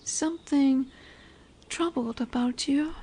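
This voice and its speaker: A woman plays a dominant female lamia in a fantasy roleplay audio, high in pitch and unhurried. She is curious and a little condescending, yet nurturing, teasing and soothing as she slips toward breathy whispers. Birdsong and woodland ambience sit behind the voice. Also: mellow, slight background noise. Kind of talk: slow roleplay audio monologue addressed to the listener. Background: birdsong, forest ambience.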